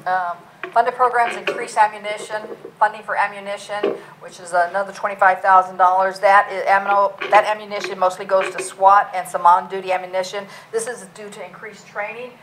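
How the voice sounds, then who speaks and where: A middle-aged woman, a police chief, gives a budget presentation in a council meeting room, speaking loudly and plainly with many fillers. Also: mid-range voice, fairly even intonation, a little fast, background noise.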